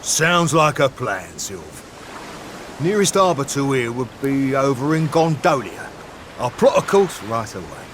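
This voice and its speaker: A man playing a burly, loyal ship's crewman in a video game, blunt and rough-and-ready with a Cockney accent. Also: mid-range voice, sing-song, measured pace, a bit bright, slight background noise. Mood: approving, hearty. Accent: British, Cockney. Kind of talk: video game cutscene acting.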